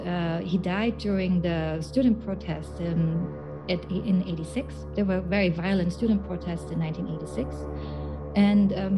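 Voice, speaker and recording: A woman recalling things in conversation, hesitant and searching for her words. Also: on the low side. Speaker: guest, writer. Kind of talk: halting conversational recollection.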